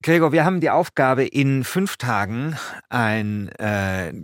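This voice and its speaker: A man's voice: medium pitch, slow pace, dry recording, studio quality. A man hosting a podcast in German, with a wry, playfully grandiose way of telling things. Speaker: podcast host. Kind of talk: scripted narration and casual conversation.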